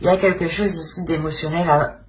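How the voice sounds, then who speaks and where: A woman with a fairly low voice gives an off-the-cuff tarot reading, speaking directly to the viewer. She circles back over her phrases and often adds small tag questions. There is faint noise behind her voice. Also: steady tone, a little fast, low-quality recording. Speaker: tarot reader, channel host.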